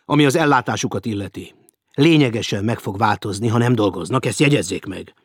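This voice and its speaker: A man playing a strict hotel official laying down the rules in a firm, commanding tone. The voice is husky and loud, clipped and cold. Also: on the low side, dry recording, studio quality. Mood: stern. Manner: stern and commanding.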